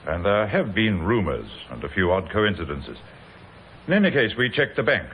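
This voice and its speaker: An actor playing a polite, probing British police inspector in an old radio drama, measured and insinuating. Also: male voice, lowish voice, sing-song, fairly bright tone. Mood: measured, serious. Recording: narrow tinny vintage radio with hiss.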